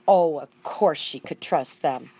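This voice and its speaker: A woman reading a children's storybook aloud in an expressive way, acting out the dialogue. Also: low-pitched, measured pace, slight background noise. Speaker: audiobook reader.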